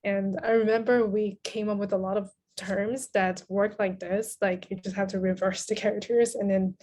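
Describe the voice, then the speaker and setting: A woman's voice: mid-range voice, little pitch movement, normal speed, a little mellow. A woman from Taiwan with a Taiwanese accent, a native Mandarin speaker, talking conversationally as an interview guest. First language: Mandarin Chinese. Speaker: podcast guest, literary translator.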